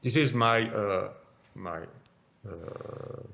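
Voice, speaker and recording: Low gravelly Belgian-born man lecturing. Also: drawn-out words, a bit bright, a little hiss or hum. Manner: hesitant, many repeated words and fillers. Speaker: interventional cardiologist lecturer. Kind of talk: conference lecture.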